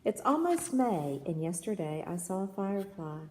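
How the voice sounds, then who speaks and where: A woman's voice: medium pitch, very animated, moderate pace, darkish tone. Woman reading aloud in an echoey room. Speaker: reader, reading poems aloud. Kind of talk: read-aloud of children's verse novel. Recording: echoey reverberant room with background noise.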